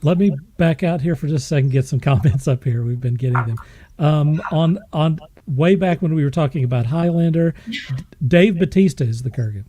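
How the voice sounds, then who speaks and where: A woman hosting a podcast, asking questions in a casual, halting, measured way. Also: low voice, warm tone, slight background noise. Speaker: podcast host. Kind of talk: casual interview chat.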